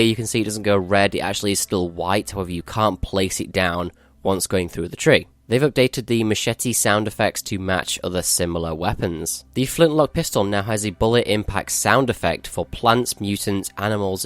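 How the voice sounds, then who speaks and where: A man's voice: fairly low pitch, no echo. A male gaming channel host giving a matter-of-fact, explanatory walkthrough of a game update.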